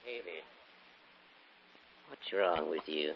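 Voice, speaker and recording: An actor playing a lonely, agreeable old gentleman in an old radio drama, courteous, measured and mildly hesitant. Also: sits low. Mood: puzzled. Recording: muffled vintage radio recording.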